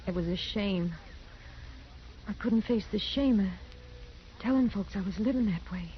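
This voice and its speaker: An actress playing a meek, sorrowful frontier woman, halting and plaintive, in a 1950s radio western. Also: mid-range voice, lightly acted. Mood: ashamed, sorrowful. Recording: muffled, hissy 1950s radio drama recording.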